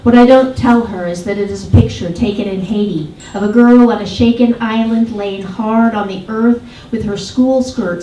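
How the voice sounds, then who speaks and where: A woman giving a poetry reading, reciting with deliberate, dramatic phrasing and emphatic lists. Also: mid-range voice, mellow. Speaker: poet. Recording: echoey room, distant mic, faint noise.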